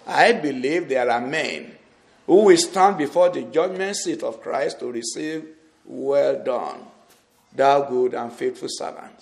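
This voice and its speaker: A Yoruba-speaking man from Nigeria with a Nigerian Yoruba accent, preaching a sermon slowly and distinctly. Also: medium pitch, vocal fry. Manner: slow, deliberate and distinct.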